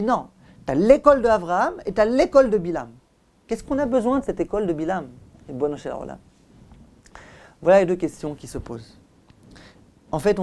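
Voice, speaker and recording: A man giving a Torah class as a rabbi, didactic and emphatic, asking rhetorical questions, repeating for emphasis and letting phrase endings drop softly. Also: high voice. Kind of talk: Torah lecture.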